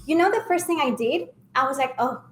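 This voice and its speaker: A woman's voice: high-pitched, a little fast. A middle-aged woman from Ecuador with an Ecuadorian Spanish accent, telling her career story and often checking in with "right?". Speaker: hotel sales director and mentor. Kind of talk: casual mentoring interview. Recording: echoey room with faint ambience.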